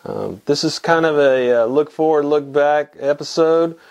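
A man's voice: fairly high pitch, unhurried, gravelly, darkish tone. A man in his fifties from Texas, hosting a casual weekly fly fishing report from his small home fly shop, talking to a camera at desk distance with lots of fillers and restarts. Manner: rambling, many restarts and fillers. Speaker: fly fishing show host. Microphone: camera mic at desk distance.

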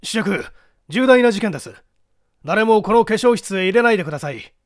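Stern, self-assured police detective for a mystery audiobook, acted by a man, brusque, pitched fairly high. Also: expressive, a little fast, dry recording, studio quality. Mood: urgent, commanding. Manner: brusque, authoritative.

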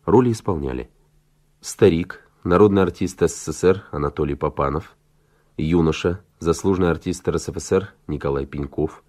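A male announcer reads credits in the formal Soviet radio style. His voice is deep and low. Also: normal speed, a little mellow.

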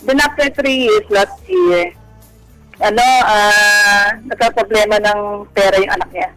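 A woman calling a radio advice show, telling a personal story casually in halting, fragmented phrases. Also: medium pitch, a bit bright, low-quality recording. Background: faint music.

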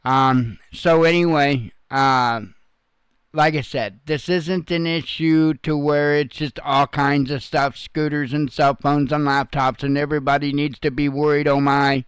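A man's voice: a little high, fairly even intonation, unhurried, clear, resonant tone, no room sound. A man hosting informal, unscripted news commentary in a blunt, folksy way with some salty language. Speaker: host of an online news commentary channel.

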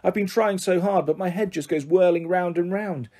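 A woman's voice: on the low side, moderate pace, warm tone, lightly acted. A woman voicing an anxious, snappish but loving mother in a storybook. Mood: anxious, fond.

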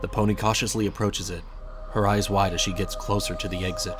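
A man's voice: deep voice, fairly even intonation, fairly quick, music in the background. A man reading scripted second-person fan fiction as a dramatic storyteller. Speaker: narrator.

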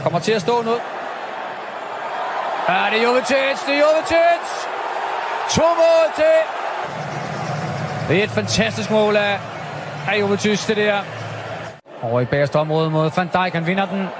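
Excited high-voiced football commentator. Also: male voice, unhurried, pauses often, heavy background noise. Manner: excited, shouting at goals. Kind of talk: live match commentary.

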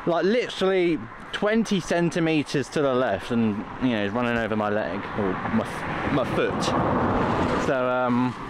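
A man's voice: mid-range voice, measured pace. A man chatting in a rambling, casual way as he hosts a walking vlog outdoors. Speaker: vlog host. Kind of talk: casual walking vlog narration to camera and companion. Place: outdoors walking along a country roadside in rain. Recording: outdoor recording with loud traffic noise.

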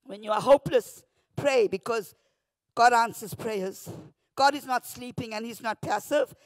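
A woman pastor with a South African accent, preaching a morning devotion from a church pulpit into a handheld mic, often shouting, then dropping to a hush. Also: fairly high pitch, unhurried, no room sound, clean studio sound. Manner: fervent, often shouting, swings from loud to hushed. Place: church stage behind a pulpit. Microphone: handheld microphone.

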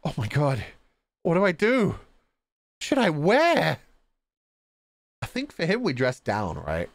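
A man streaming a game, reading its dialogue aloud with jokey ad-libs in a playful, animated manner. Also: a little high, slow, no room sound. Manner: animated, stammering for effect, jokey. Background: visual novel game music.

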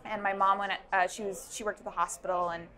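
Young woman, halting thoughtful interview talk. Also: mid-range voice, little pitch movement, sharp tone. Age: young adult. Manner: thoughtful, many ums and restarts. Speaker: startup founder. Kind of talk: interview answers. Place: busy indoor networking event. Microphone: lapel mic.

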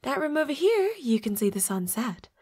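Woman acting a lively, breathy vampire roommate. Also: high-pitched, normal speed, exaggerated voice. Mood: playful, cheeky. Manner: stammering, playful, breathy asides in whispers. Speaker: voice actor. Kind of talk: flirty audio roleplay acting.

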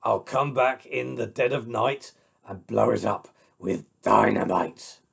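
A storyteller voicing a greedy, boastful big bad wolf in a loud, blustering, drawn-out way for a children's tale. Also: mid-range voice, vocal fry, husky, exaggerated voice. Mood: threatening.

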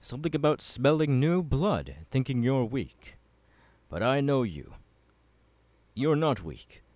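A man's voice: mid-range voice, sing-song, slow pace, darkish tone, no room sound. A man playing a passionate, courteous young warrior mentor in an ASMR audio roleplay, speaking in an earnest, formal and encouraging way. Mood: reassuring.

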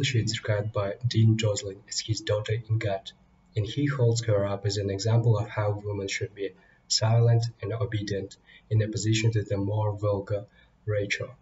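A man's voice: lowish voice, darkish tone. A young man giving a scripted book analysis as a student presenter. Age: young adult. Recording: dull lo-fi audio with faint noise.

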